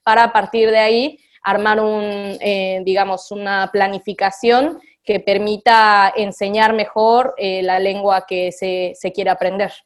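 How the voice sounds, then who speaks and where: A woman teacher talks through her experience on a radio interview, thinking aloud with frequent fillers. Her voice sits at an ordinary middle pitch. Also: level tone, measured pace, hardly any pauses. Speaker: literacy teacher.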